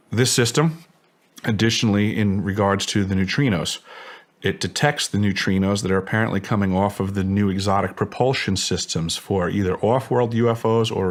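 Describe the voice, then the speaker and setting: Male interview guest, low-pitched, assertive and technical in his phrasing, laying out claims as testimony. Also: moderate pace. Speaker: interview guest, former contractor.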